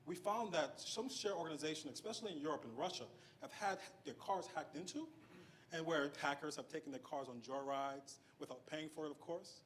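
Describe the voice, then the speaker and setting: A man explains use cases in a startup pitch, laying them out point by point and checking in with the crowd. His pitch runs a little high, and faint ambience sits behind him. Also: brisk, a bit bright, slight background noise. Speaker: startup team member pitching. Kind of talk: presentation pitch.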